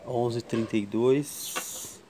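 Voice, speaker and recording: A man from Brazil hosting a casual tool vlog at his workshop bench, slowly counting wrench sizes and chatting to viewers. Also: mid-range voice, steady tone, noisy background. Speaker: tool channel host. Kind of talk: casual show-and-tell vlog.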